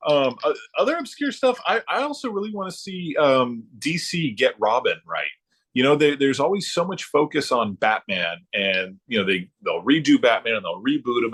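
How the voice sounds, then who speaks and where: A middle-aged man chatting casually about his hobby as a podcast guest, haltingly, often circling back and repeating himself. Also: mid-range voice, animated, a bit bright, breathy edge. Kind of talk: casual chat about comic books.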